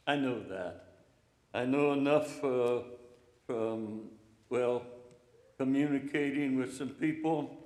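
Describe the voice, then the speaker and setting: An older man preaching an informal, encouraging sermon in a slow, plainspoken way. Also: fairly high pitch. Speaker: pastor. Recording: echoey room, hall reverb.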